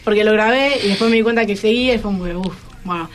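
A man's voice: sits high, fast. A teenage boy with an Argentine accent chatting casually as a student guest, telling things in a rambling, colloquial way. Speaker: secondary school student guest. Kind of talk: casual chat. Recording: music playing behind the voice.

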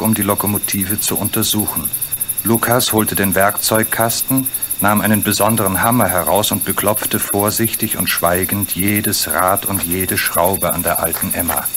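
A man narrating a radio play story in a measured, literary manner. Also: on the low side, fairly even intonation, fairly bright tone, a little hiss or hum.

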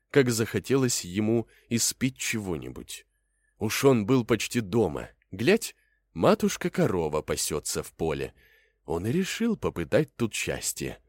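A man's voice: lowish voice, a little mellow, dry recording. A man narrating a fairy tale as a storyteller, in a folksy, theatrical way.

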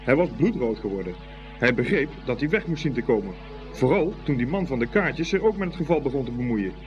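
A man's voice: medium pitch, lively intonation, a little fast, highs cut off, over music. A man reading scripted storybook narration as the narrator of a radio play.

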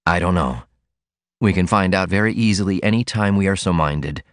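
A man's voice: sits low, normal speed, dry recording, studio quality, natural voice. A man playing an ardent young man madly in love, earnest and fervent, in audiobook dialogue. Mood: confident, loving.

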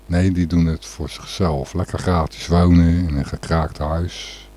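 Male radio presenter, very deep and raspy, hesitant delivery, opinionated, unscripted news talk. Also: level tone, measured pace, warm tone. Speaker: radio host.

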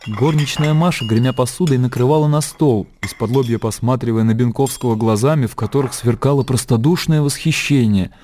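A man reading literary narration for a radio drama in a composed, measured storytelling manner. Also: mid-range voice, slight background noise. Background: soft music.

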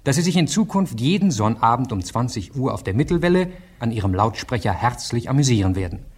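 A man's voice: mid-range voice, very animated, a little fast, a little mellow, slightly rough. A man announcing an old radio program in a formal, cordial way.

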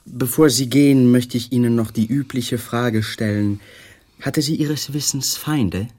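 An actor playing a polite, probing police detective who asks questions calmly in a 1980s radio drama. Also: male voice, mid-range voice, a little slow, breathy edge. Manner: calm, formal questioning.

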